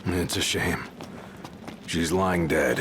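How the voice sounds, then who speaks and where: Very deep-voiced easygoing buddy. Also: male voice, steady tone, slow, noisy background. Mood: casual. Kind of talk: casual video game dialogue.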